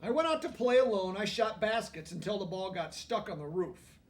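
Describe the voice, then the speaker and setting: A man's voice: high voice, very animated, moderate pace, recorded from a distance. A man reads a children's picture book aloud expressively, explaining things simply for young listeners. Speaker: storybook reader.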